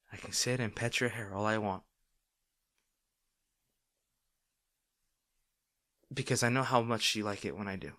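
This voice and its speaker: A man playing a caring boyfriend in an intimate audio roleplay, talking tenderly and with gentle insistence to his partner. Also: on the low side. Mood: teasing, fond. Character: caring, gently firm young boyfriend soothing an overworked partner.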